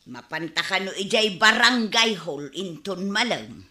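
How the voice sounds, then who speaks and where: A woman's voice: mid-range voice, big pitch swings, a little slow, bright, forceful tone, echoey room. A woman playing an evasive, gossiping village woman in a Filipino radio comedy drama, with a defensive, stammering manner and a Filipino accent. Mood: conspiring.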